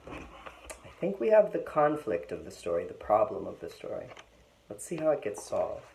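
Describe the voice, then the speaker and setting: Low-voiced, animated woman reading a storybook. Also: moderate pace, creaky, a little hiss or hum, poor audio. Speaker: teacher reading aloud. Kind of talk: expressive children's storybook narration.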